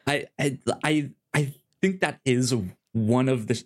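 A man co-hosting a casual review podcast, halting and stammering as he repeats words while he talks. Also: medium pitch. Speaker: podcast co-host reviewing a TV show.

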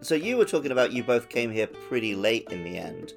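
A man's voice: medium pitch, very animated, fairly quick, creaky. A man co-hosting a gaming podcast in casual conversation. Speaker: podcast co-host. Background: prominent plucked-guitar music.

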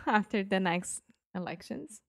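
A woman's voice: mid-range voice, animated, slow pace, vocal fry, dry recording, studio quality. A woman co-hosting a podcast, playful and curious, asking questions as she learns. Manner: playful, laughs often, asks questions. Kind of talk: casual chat.

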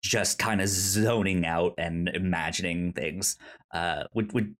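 A man co-hosting a podcast in casual film chat, halting and often repeating the starts of his words. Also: sits low, fairly bright tone. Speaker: podcast co-host.

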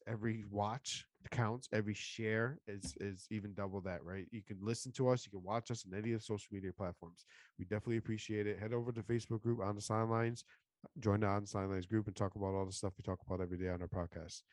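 A man's voice: on the low side, fairly even intonation, fast, dry recording. A man hosting a casual podcast, upbeat and promotional, losing his voice after being sick. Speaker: podcast host.

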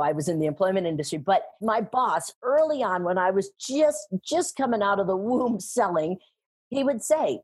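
A middle-aged woman with a slightly husky voice talks about sales with animated emphasis and frequent laughter. Her pitch sits in an ordinary middle range. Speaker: guest, sales trainer and speaker. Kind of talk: conversational interview.

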